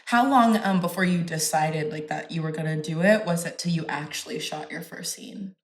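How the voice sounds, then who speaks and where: Bubbly podcast host, a woman with a lowish voice, recorded in a roomy, echoing space. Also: normal speed. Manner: upbeat, chatty, frequent "that's awesome". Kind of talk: casual interview chat. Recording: echoey room.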